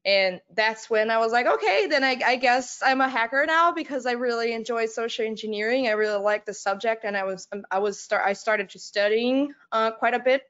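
Young woman, Brazilian accent, halting, fillers and restarts, slightly muffled video call audio. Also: on the high side, a bit bright, smooth. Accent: Brazilian Portuguese. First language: Brazilian Portuguese. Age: young adult. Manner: halting, many fillers and restarts, laughs often. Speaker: conference speaker. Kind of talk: casual conference talk. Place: home room, on video call.

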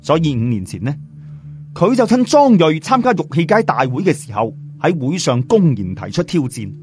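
Male audiobook narrator, Cantonese, conversational asides to the listener, very expressive intonation, slightly high voice. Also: normal speed. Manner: chatty, uses sentence particles and asides to listeners. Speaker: audiobook storyteller. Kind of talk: lively colloquial story narration.

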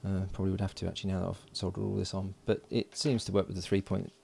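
A man giving casual hands-on commentary as an electronics hobbyist, informal and halting as he thinks aloud while working. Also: sits low, level tone, vocal fry, dark tone, slightly rough. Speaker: electronics hobbyist presenting a build. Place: indoors at a workbench.

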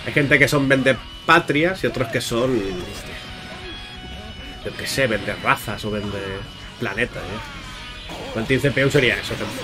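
A man's voice: medium pitch, a little slow, pauses often. Chatty man commentating gameplay over music. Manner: chatty, informal, addresses viewers often, playful exclamations. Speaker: gaming YouTuber. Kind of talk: casual video game commentary to viewers. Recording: music under the voice.

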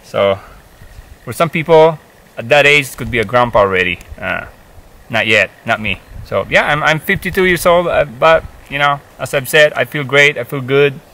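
A man in his fifties speaks with a bright, forceful tone and a middling pitch. He talks casually to a camera set up in front of him outdoors in a forest, pausing often to restart his sentences and fill gaps with "uh" and "um". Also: lively intonation, poor audio. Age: 50s. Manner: halting, many fillers and restarts. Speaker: hiking vlogger. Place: forest, outdoors, seated on a rock.

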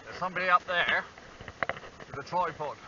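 A man's voice: high-pitched, normal speed. A man talking to himself while fishing on a stony harbour shore, wry and self-deprecating, filmed on a small handheld camera. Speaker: angler vlogger. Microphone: small handheld action camera. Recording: dull lo-fi camera audio with faint wind.

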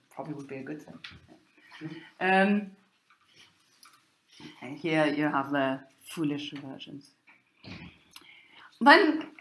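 Slow, halting woman lecturing. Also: lowish voice, a bit bright. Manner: hesitant, many fillers and pauses. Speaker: university lecturer. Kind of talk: recorded lecture over slides. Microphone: recorded voice-over for slides. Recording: roomy echo.

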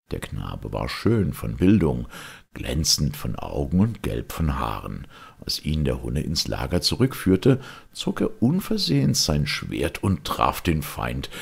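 A man reading an old legend as a scripted literary narration, in a measured, expressive storytelling manner with a gravelly voice. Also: a bass voice.

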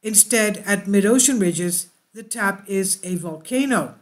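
A woman reads a science news story aloud in a steady voice. Now and then she drifts into unscripted asides, stumbling over fillers and correcting herself before she returns to the text. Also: medium pitch, measured pace. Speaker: YouTube news host.

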